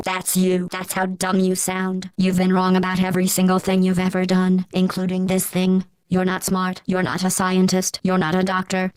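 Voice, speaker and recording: A woman voicing a cold, murderous, sarcastic artificial intelligence in a video game, deadpan, with a synthetic voice processed flat and robotic. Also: smooth, dry recording. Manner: monotone, deadpan, menacing. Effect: robotic pitch-flattened voice processing. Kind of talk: scripted video game villain monologue.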